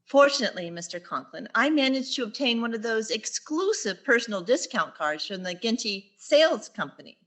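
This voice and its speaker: Actress as wry female English teacher, flustered, bright lively voice, radio sitcom wisecracks. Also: on the high side. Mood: coaxing. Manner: wry, theatrical, quick with wisecracks. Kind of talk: 1940s radio sitcom acting on stage.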